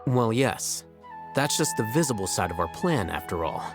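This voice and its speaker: A man voicing a cool-headed, calculating strategist in an anime video game, measured and deadpan. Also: fairly low pitch, over music. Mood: calm, assured.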